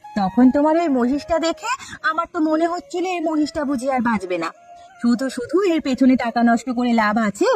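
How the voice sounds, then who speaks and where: Woman voicing a high-pitched nagging village wife. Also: fairly quick, a little mellow, soft background music, natural voice. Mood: pragmatic, insistent. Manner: scolding, complaining. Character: nagging, bossy, money-minded village wife. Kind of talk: Bengali cartoon story dialogue.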